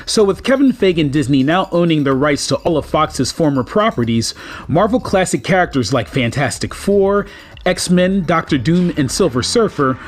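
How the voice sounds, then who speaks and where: A man hosting a scripted online entertainment video, addressing fellow fans informally and emphatically. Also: a little high. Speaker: YouTube host.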